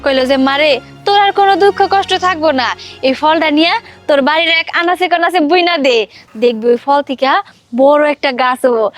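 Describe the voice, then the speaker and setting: Earnest, pleading young wife, speech, high and fast, acted by a woman. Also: close to an everyday voice. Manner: pleading, insistent. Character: put-upon young village wife, earnest and insistent. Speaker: young wife, daughter-in-law.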